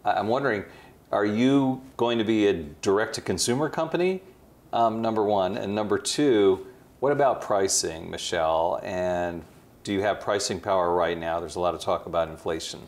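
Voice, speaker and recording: Deep-ish guy interviewer, pokes at the numbers with fast follow-ups. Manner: probing, quick follow-up questions. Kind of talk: business interview.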